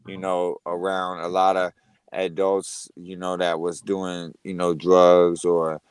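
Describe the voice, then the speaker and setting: A very low-pitched man from Connecticut talks casually as an interview guest, his voice smooth and resonant. The sound is dry and close, with no room around it. He keeps it laid-back and fills his sentences with "you know.". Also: monotone, slow pace, no room sound. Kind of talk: casual conversation. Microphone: headphones on a remote call.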